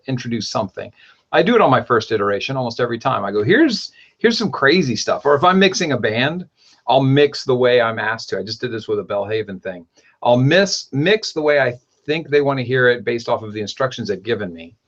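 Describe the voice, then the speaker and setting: A man hosting a podcast, talking in a rambling, animated way and often correcting himself mid-sentence. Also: medium pitch, a little fast, muffled recording. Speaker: podcast host. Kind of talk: casual podcast chat.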